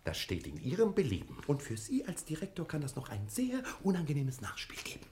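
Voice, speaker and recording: Wildly excitable private detective. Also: male voice, on the high side, fairly quick. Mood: furious, threatening. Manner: theatrical, emphatic, swinging from hushed to shouting. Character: excitable, insistent male American private detective, indignant and blustering. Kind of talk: 1960 German radio comedy acting.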